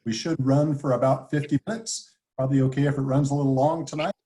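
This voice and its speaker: A man hosting an online meeting, giving house rules in a relaxed way with many fillers, over a video call. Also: medium pitch, fast. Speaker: business development manager hosting a webinar.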